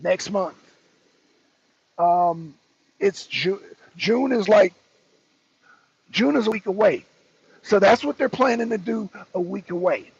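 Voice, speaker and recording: A man's voice: sits high, slow pace, gravelly, pauses often, husky, no echo. A man hosting a political talk show, giving blunt, emphatic commentary and repeating phrases for effect. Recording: dull lo-fi audio.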